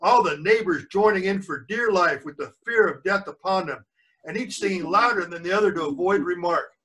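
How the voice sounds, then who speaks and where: An older man reading a storybook aloud as a grandfather would, pausing for chatty asides and questions to young listeners. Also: high-pitched, fairly bright tone, recorded from a distance, low-quality recording. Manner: pauses to quiz the listeners.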